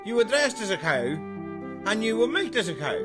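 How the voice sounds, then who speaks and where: A bossy, scolding castaway played by a man in audio comedy. He is high-pitched, foul-mouthed and hugely animated, with music behind him. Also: normal speed. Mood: bossy, emphatic. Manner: scolding, emphatic. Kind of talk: comedy audio drama acting.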